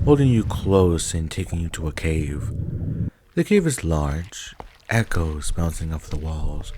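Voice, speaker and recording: A man's voice: low voice, background noise. A man reading scripted narration for a roleplay story.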